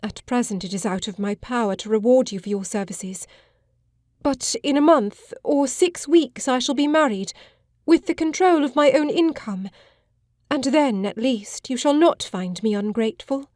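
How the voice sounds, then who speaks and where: A woman acts out a distraught Victorian lady of thirty in an audiobook drama. Her voice is high and agitated, pleading in formal phrases, recorded close and dry. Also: moderate pace, darkish tone, no room sound, natural voice. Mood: desperate, pleading. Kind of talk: full-cast audiobook drama acting.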